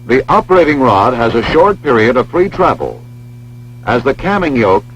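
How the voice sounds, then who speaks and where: An American man reading scripted instructional narration for a training film in a measured, precise, formal manner. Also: mid-range voice, very animated, breathy edge. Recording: dull lo-fi film soundtrack, humming noise.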